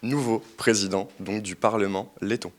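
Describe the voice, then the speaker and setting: A man from France hosts a radio interview. His voice sits a little low. He asks questions in a measured, formal manner. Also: steady tone. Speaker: radio host.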